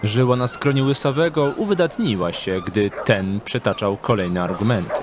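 A man's voice: on the low side, moderate pace, background noise. A man reading scripted narration for an audio drama as its storyteller. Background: background music and street ambience.

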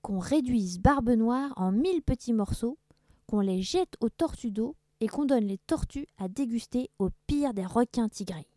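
A woman voicing a patient old male schoolteacher in a children's story, calmly explaining. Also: fairly high pitch, a little slow, no room sound, voice pushed up. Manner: calm explaining.

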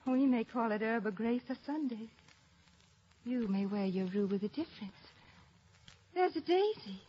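A woman's voice: on the high side, expressive, normal speed, dark tone, breathy edge. An actress playing a mad, grieving young woman in a 1950s radio production of Shakespeare. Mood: distracted, wistful. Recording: dull vintage 1950s radio recording.

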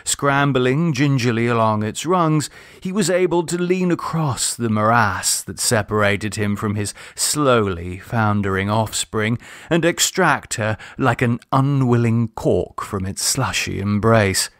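A man narrating a literary short story in a measured, expressive, formal storytelling manner. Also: mid-range voice, dry recording, studio quality. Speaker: audiobook narrator.

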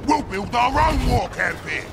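An actor playing a brash, greedy ork warboss in a video game, with a growling Cockney voice and blunt, boisterous slang. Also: male voice, extremely high pitch, big pitch swings, measured pace, heavy background noise, exaggerated voice. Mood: commanding, aggressive. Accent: Cockney English. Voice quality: gravelly, growling.

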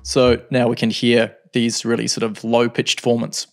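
A man in his thirties teaching music software in a home studio, explaining calmly with frequent ums. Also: medium pitch, moderate pace. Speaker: music production tutor. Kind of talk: screen-recorded software tutorial.

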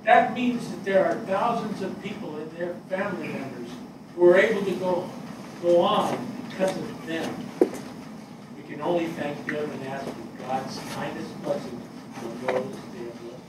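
A man in his sixties playing a plainspoken, grieving fire captain in a stage reading, halting and matter-of-fact. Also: a little high, natural voice. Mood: somber, halting. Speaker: stage actor in a dramatic reading. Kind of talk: conversational stage dialogue read from a script. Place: small theatre stage before an audience. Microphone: far camera. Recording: echoey theatre, distant mic, noisy.

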